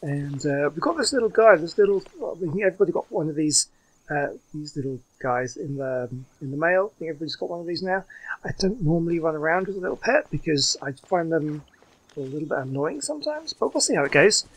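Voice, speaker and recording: Casting: male gaming host, slightly high voice, loose chatty commentary that wanders and hesitates. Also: animated, fast talker, poor audio. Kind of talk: casual gameplay commentary.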